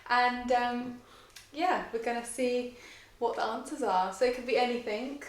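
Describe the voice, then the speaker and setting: A woman's voice: on the high side, steady tone, measured pace. A young adult woman asking her husband questions in a friendly, chatty way. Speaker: interviewer. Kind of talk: casual chat. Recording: echoey room.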